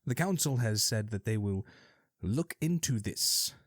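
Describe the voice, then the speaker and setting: A man's voice: on the low side, animated, a little slow, dry recording. A man hosting a scripted fantasy radio show, delivering the news in a wry, theatrical way with dry asides.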